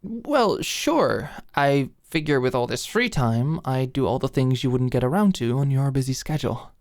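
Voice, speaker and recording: A woman's voice: a bass voice, lively intonation, normal speed, darkish tone, no room sound. A close, dry recording of a woman acting as a doting, dominant girlfriend in an ASMR roleplay. She fusses and coaxes with eager affection, speaking intimately like an ex-manager who now runs the home. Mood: sheepish, confessing. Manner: affectionate, fussing, coaxing.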